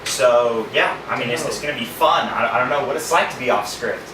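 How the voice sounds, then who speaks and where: A man's voice: medium pitch, a little fast. A teenage boy co-hosting a podcast in casual, unscripted chat. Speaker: podcast co-host, tech video maker. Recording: echoey, distant mic, noisy.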